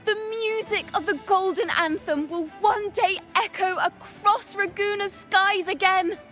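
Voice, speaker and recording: A woman's voice: very high voice, steady tone, sharp tone, heavily acted. A woman voicing a young female bard in a video game, defiant and playful, telling her tales in a theatrical, poetic manner. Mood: playful, confident. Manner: theatrical, measured, poetic delivery. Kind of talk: dramatic storytelling in game voice acting. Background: music.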